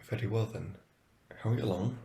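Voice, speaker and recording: A man playing a grave, middle-aged wizard professor in an audio drama, measured and formal. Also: on the low side, creaky, warm tone, slight background noise. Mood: grave, probing.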